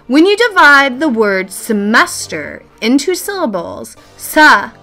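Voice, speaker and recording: A woman's voice: high voice, very animated, takes it slowly, faint music behind, heavily acted. A woman playing a strict, impatient, mocking schoolteacher in a family radio play, with a haughty, scolding delivery. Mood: brisk, dismissive. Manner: theatrical scolding, haughty and sharp.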